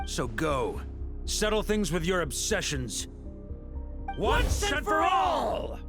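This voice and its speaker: Game casting: wry male commander, fairly high voice, dramatic swings, clear music behind. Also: huge pitch swings, slow pace, heavily acted. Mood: urgent command. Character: commanding, wry male military leader, fiercely determined. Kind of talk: dramatic anime game voice acting. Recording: game audio under prominent music.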